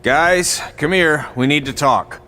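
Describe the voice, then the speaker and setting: An actor playing a sarcastic, blunt veteran wrestling trainer in video game dialogue. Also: male voice, on the high side, slow pace, pushed and bright. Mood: stern.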